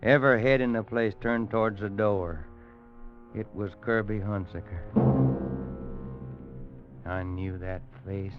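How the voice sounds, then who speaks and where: Muffled old radio western, low male voice, acted, older cowboy companion, folksy drawl, homespun storytelling, dramatic pauses. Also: steady tone, soft background music, natural voice. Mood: tense, grave. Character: older plainspoken cowboy narrator, fond loyal companion. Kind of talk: 1950s radio western narration.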